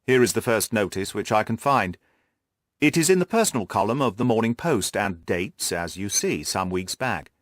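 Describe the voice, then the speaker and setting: A man voicing an earnest, orderly gentleman companion in an audiobook, measured and deliberate in manner. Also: lowish voice, lively intonation, no echo, clean studio sound, close to an everyday voice. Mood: measured, informative. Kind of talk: audiobook character voice.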